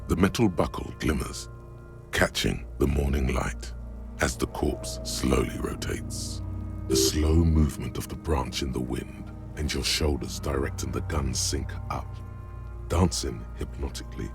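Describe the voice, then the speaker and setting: Gravelly, very deep male narrator. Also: over music. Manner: measured, ominous, literary. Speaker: game narrator. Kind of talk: second-person scripted narration.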